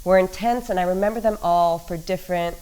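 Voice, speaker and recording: A woman actress talking casually in an interview, animated and full of fillers. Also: fairly low pitch, brisk, smooth, poor audio.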